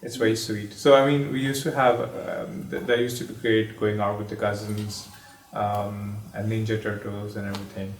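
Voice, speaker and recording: A man's voice: lowish voice, little pitch movement, normal speed. A man in his thirties from Pakistan, sharing a personal story in a hesitant, reflective way with many fillers and restarts. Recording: echoey room, distant mic.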